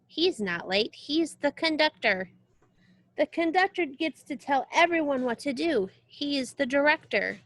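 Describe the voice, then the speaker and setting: A woman's voice: sits high, lively intonation, measured pace, a bit bright, dry recording, full character voice, low-quality recording. A woman voicing a reassuring, knowledgeable storybook companion at a concert, speaking patiently. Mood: explaining.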